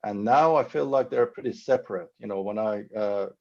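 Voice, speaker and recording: A novelist, a Farsi speaker, talking thoughtfully and haltingly with many fillers on a video call. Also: male voice, fairly low pitch, vocal fry, a little mellow, highs cut off. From Iran. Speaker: novelist guest. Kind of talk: online panel conversation.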